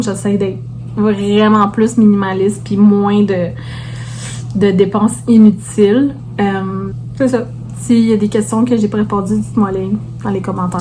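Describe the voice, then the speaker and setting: Woman, Québécois French accent, casual vlog chat, mid-range pitch, informal with English words mixed in, faint noisy hum behind. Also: darkish tone. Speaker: vlogger.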